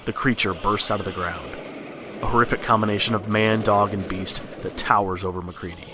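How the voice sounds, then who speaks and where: A man's voice: fairly low pitch, steady tone, brisk, heavy background noise. A man narrating a scripted horror story in the style of a read-along storybook record.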